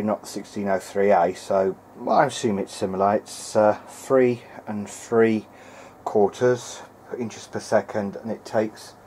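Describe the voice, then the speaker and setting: A man's voice: fairly low pitch, a little mellow, a little hiss or hum. A man thinking aloud in a hesitant way as he gives casual hands-on repair commentary at an indoor workbench. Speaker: hobbyist repairer presenting a video diary.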